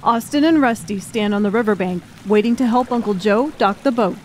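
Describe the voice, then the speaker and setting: Female audiobook narrator, medium-pitched voice, scripted dramatic storytelling. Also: a little fast, darkish tone, a little hiss or hum.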